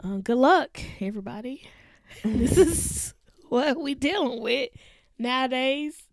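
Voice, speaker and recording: A hoarse woman co-hosting a podcast, chatting casually with laughs and slow, drawn-out lists. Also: high voice, slight background noise. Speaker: podcast co-host. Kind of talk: casual chat.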